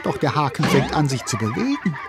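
A man narrating a children's audio drama as a storyteller, scripted, dramatic and expressive. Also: fairly high pitch, brisk, creaky, music in the background.

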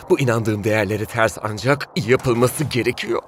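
A man's voice: mid-range voice, normal speed, natural voice. An actor playing a smug, melodramatic music-loving man in a cartoon, with a theatrical manner. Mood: dismayed, dramatic.

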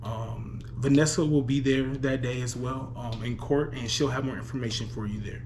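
Man, medium pitch, posing as officer on a phone call, formal, hesitant, lightly noisy recording. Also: fairly even intonation, moderate pace, darkish tone. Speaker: prank caller.

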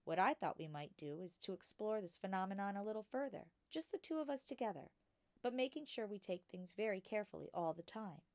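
A woman voicing a gentle, patient woman teacher in a children's storybook, explaining things with care. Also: mid-range voice, expressive, no echo, lightly acted. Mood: cautious, earnest. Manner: patient, careful, measured explaining. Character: gentle, cautious, patient young woman schoolteacher. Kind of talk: children's storybook character reading.

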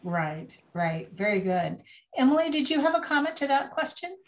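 A woman's voice: high-pitched, big pitch swings, normal speed. A woman moderating a panel, encouraging the guests and summing up their points before asking the next question. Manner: encouraging, sums up the speakers' points.

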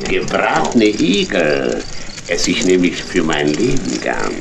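An actor playing a sly, menacing, imperious cat pirate captain in a children's radio play. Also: male voice, low voice, huge pitch swings, slow pace, vocal fry, exaggerated voice. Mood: sly, gloating. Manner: imperious, impatient, gloating. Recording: noisy old audio drama record.

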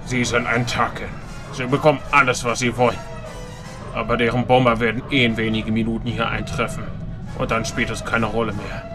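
Male actor as older admiral, commanding and sceptical, medium pitch, music underneath. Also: level tone, a little fast, lightly acted. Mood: sceptical, formal.

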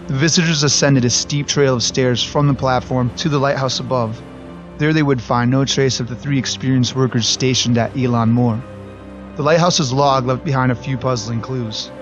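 Measured male documentary narrator. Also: medium pitch. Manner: measured, even storytelling delivery. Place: voice-over recorded apart from the footage. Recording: slightly dull recording with faint music.

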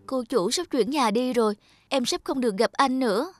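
A woman playing a young, devoted, lovestruck female vehicle in an animated cartoon, with a sweet, earnest and expressive delivery. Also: sits high, normal speed, faint music behind. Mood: worried.

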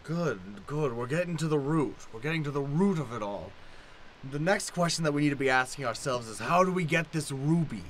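Man playing a high-pitched, drunk, theatrical schemer. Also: animated, fairly quick. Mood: conspiratorial. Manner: grandiose, dramatic, swinging from murmurs to shouts. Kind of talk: improvised old-time radio play acting. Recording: noticeable background noise.

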